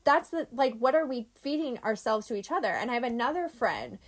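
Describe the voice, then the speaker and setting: A woman in her twenties talking casually on a podcast, chatty and animated, with lots of fillers and laughter. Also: on the high side, brisk. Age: 20s. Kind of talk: casual chat.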